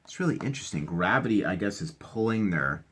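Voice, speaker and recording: Deep voiced guy doing a kids read aloud, super animated, casual asides, asks questions as he goes. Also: brisk, husky. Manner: enthusiastic, explains and asks the listener questions. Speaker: teacher reading aloud to children.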